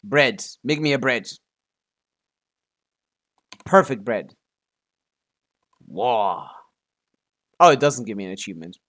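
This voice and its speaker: A man's voice: medium pitch, expressive, takes it slowly, long pauses, a bit bright. A man chatting casually on a gaming livestream as the streamer, often muttering to himself and swearing offhandedly. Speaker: live streamer.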